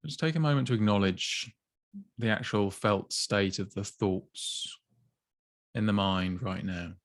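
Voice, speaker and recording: A man with a low, calm voice guides a mindfulness body scan. He speaks slowly and gently, leaving long pauses between phrases. The recording is dry and close, with no room sound. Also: no room sound. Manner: slow, gentle, soothing guidance with long pauses. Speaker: mindfulness teacher.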